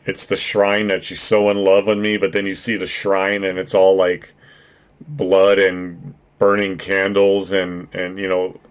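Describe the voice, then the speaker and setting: Deep-voiced joking man streaming. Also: monotone, unhurried, clean tone. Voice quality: naturally deep voice. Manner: joking and profane, laughing often. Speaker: streamer and podcast host. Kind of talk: casual chat with a live stream audience.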